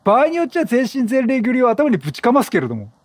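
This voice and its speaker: A man doing excitable, chatty game commentary, reacting aloud and bantering with the characters. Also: very high voice, quick pace, no echo. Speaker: gaming streamer, let's play host.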